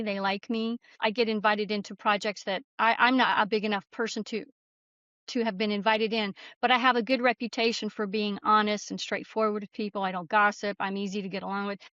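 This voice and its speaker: A woman's voice: mid-range voice, steady tone, a little fast, fairly bright tone, no echo. A middle-aged woman giving an interview as a guest, telling her story in an earnest, candid, reflective way, on slightly lo-fi audio. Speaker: guest author and screenwriter.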